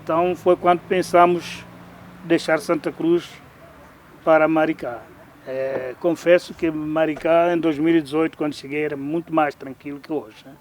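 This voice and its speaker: A man with a slightly higher voice reflects thoughtfully and in measured terms. He is recorded outdoors, with faint traffic and street noise around him. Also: level tone. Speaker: interviewee. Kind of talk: documentary interview.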